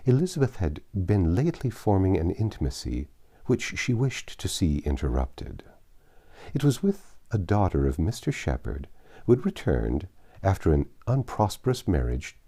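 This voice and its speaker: Deep, husky male audiobook narrator. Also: very animated, normal speed, mellow. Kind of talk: literary audiobook narration, reading a classic novel.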